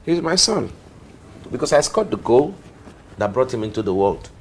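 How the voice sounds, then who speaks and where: A man's voice: medium pitch, sing-song, normal speed, slight background noise. A man with a Nigerian accent playing a jobless, suspicious husband who taunts and exclaims in a screen drama. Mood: mocking, suspicious.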